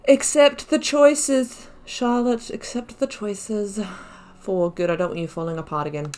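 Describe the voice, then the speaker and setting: A woman's voice: mid-range voice, steady tone, a little slow, darkish tone. A woman hosting a playthrough with casual commentary, reflectively thinking aloud as she goes. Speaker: gaming channel host. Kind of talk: casual let's play commentary.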